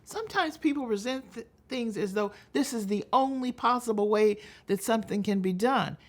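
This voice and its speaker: An older American woman from Texas giving a storytelling advocacy talk, speaking deliberately and emphatically with dramatic pauses. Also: fairly high pitch. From Texas, United States. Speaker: public speaker.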